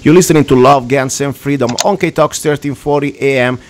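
Radio host over faint hiss. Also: male voice, medium pitch, brisk. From Italy. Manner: conversational, frank, slightly rambling questions. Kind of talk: talk radio hosting.

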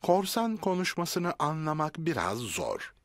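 A man's voice: fairly high pitch, sing-song, measured pace, dry recording, clean studio sound. A man playing the cheerful narrator of a children's cartoon, reading scripted narration. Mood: cheerful, explaining. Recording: music behind the voice.

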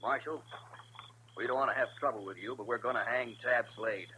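An actor playing a grim, unyielding American rancher at the head of a lynch mob in an old western radio drama. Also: male voice, medium pitch, fairly quick, close to an everyday voice. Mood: grim, defiant. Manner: forceful, unyielding. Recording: noisy old radio recording.

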